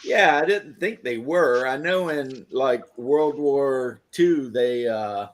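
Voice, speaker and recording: A man's voice: medium pitch, slow pace. Hesitant man in casual chat. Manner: hesitant, trails off, many fillers.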